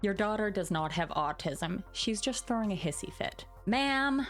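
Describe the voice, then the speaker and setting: A woman's voice: medium pitch, animated, normal speed, soft background music. A woman in her forties doing a sarcastic, theatrical dramatic reading of online posts with commentary. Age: 40s. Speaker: video host.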